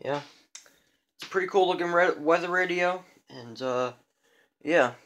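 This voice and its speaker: A man's voice: sits high, unhurried, a bit bright. A man giving a casual, unscripted show-and-tell of a hobby collection, rambling and repeating himself.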